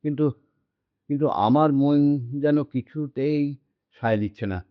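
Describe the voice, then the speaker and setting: A man's voice: fairly high pitch, a little slow, no echo, natural voice. An actor playing an anxious, lonely old man in a radio drama, speaking hesitantly and repeating his words. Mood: polite, doubtful. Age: older. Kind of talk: Bengali radio drama acting. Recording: dull lo-fi audio with background music.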